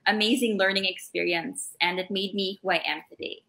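A woman's voice: medium pitch, steady tone, brisk, pushed and bright, poor audio. A young Filipino woman speaking earnestly as a guest on a video-call interview, recorded through a laptop webcam in a small room. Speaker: guest, former courtside reporter.

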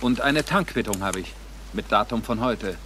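Lively-pitched police detective, noisy outdoors. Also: male voice, normal speed. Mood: businesslike. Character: businesslike male police detective searching a car. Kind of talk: German crime radio drama acting.

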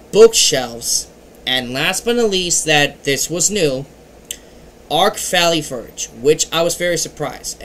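An earnest young man vlogs into a webcam from a dim bedroom, his voice a bit high and bright with a forceful edge. He talks in halting bursts that swell loud and then drop soft. A faint hum sits under the room. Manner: earnest, halting, with swings in loudness. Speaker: channel host. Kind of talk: casual webcam vlog. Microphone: webcam at desk.

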